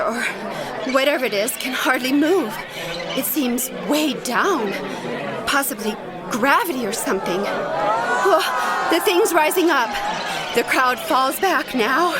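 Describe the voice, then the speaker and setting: Actress for radio-play field reporter: high female voice, earnest and urgent, easily awestruck, over busy crowd noise. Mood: horrified awe. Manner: dramatic, urgent, stammering in excitement. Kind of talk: radio drama acting, live on-scene news report. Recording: music and crowd noise behind. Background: music, busy crowd.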